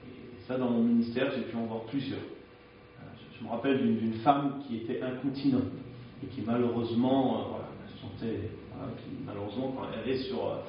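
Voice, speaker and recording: A man's voice: medium pitch, steady tone, brisk, slight background noise. A man preaching a sermon in a frank, conversational way, often hesitating as he speaks, recorded in a church. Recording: echoey church, distant dull mic.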